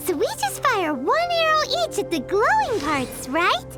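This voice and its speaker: A woman voicing a tiny, chirpy female fairy companion in a video game, with high, bouncy, childlike chatter. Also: huge pitch swings, a little slow, exaggerated voice. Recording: game audio with music behind.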